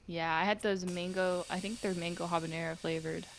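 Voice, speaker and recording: A young woman chatting casually on a podcast, relaxed and quick to laugh. Her voice sits a little low for a woman. A faint hiss runs under the recording. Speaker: podcast co-host. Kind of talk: casual chat.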